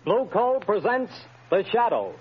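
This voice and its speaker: A man announcing in an emphatic, formal 1930s radio style, as a sponsor's pitchman. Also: sing-song, a little slow, over loud music. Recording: dull old radio recording.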